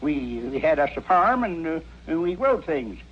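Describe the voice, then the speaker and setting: A man playing a desperate, poor farmer turned robber in a radio western, with a folksy rural American accent and halting bluster. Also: a little high, big pitch swings. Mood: cornered, defiant. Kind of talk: 1950s-60s radio western acting. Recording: muffled old radio recording, hum, noise.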